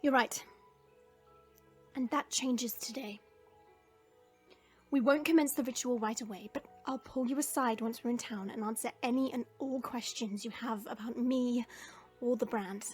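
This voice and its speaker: A woman's voice: on the high side, steady tone, unhurried, long pauses, soft background music, natural voice. A woman playing a proud, protective dragon princess in an audio drama, with formal, stately phrasing. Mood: solemn, reassuring.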